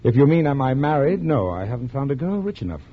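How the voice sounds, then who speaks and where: An actor playing a courteous, earnest, wounded old flame in a 1940s radio drama. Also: male voice, mid-range voice, sing-song, quick pace, lightly acted. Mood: rueful. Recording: muffled old radio recording with hiss.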